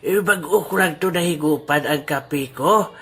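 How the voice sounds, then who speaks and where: A woman's voice: deep voice, measured pace, a bit bright, husky, full character voice. A woman voicing a grumbling, teasing old grandfather in an amateur audio drama. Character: grumpy old man, Lolo Duming, coffee-loving.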